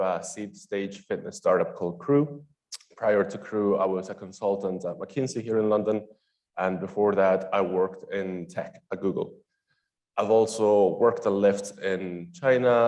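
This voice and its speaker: A young man moderating a conference panel, reading out guest introductions in a chatty, lively way with small laughs. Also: fairly low pitch, lo-fi sound.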